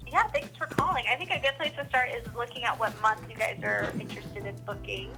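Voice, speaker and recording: A woman with a fairly high voice handles a customer service call over the phone. Music plays clearly behind her as she talks. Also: lively intonation, fast, rough recording.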